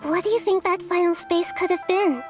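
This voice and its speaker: A woman voicing a small, gentle, clever young goddess who explains things calmly and patiently, in video game cutscene dialogue. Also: very high-pitched, moderate pace. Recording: game audio with music behind.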